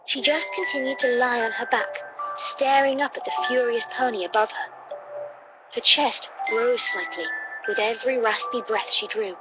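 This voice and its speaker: A woman reading a dramatic scripted story as a narrator. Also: on the high side. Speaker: audiobook-style story narrator. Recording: dull lo-fi playback with loud music. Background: loud background music.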